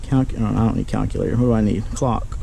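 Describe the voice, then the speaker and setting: A man's voice: on the low side, steady tone, quick pace, gravelly, noisy background. Man chatting through an outdoor how-to. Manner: chatty, talks while working, addresses viewers. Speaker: pressure washing business owner. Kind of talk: casual how-to demonstration. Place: outdoors under a metal carport shelter. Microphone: handheld action camera, point of view. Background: rain and running water.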